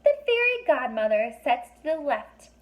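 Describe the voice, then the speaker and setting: A woman puts on a little female fairy from a children's book. She sounds polite and light, pitched up as she explains things. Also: sing-song, normal speed, lo-fi sound. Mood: explaining.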